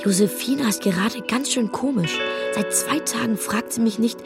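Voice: medium pitch, moderate pace, natural voice. A performer playing a reflective young boy who narrates his own story in a radio drama, confiding. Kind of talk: scripted first-person radio drama narration. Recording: loud music behind.

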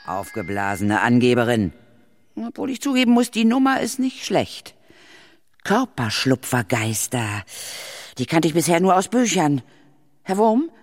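A man playing a brisk, confident female ghost hunter in a children's radio play, husky and authoritative, with a dry explanatory manner. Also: a little high, wildly swooping pitch. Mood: piqued.

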